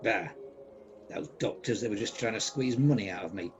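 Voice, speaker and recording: A man's voice: fairly low pitch, normal speed, close to an everyday voice. A man acting a bitter, regretful old miser, haunted and pleading, in an amateur radio play. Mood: defensive, dismissive. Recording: dull home video-call recording, background noise.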